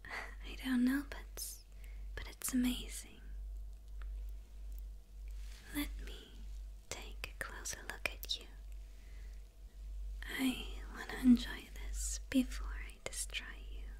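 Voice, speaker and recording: A woman's voice: high voice, little pitch movement, a little mellow, heavily acted. Woman whispering as a gloating demon villainess. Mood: fascinated, teasing. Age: young adult. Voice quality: breathy whisper.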